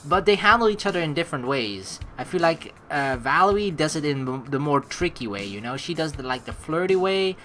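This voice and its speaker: Animated woman doing game commentary. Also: deep voice, fairly quick, few pauses, soft background music. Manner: excitable, reactive, swears. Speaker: let's play host. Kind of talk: casual game commentary, reads narration aloud.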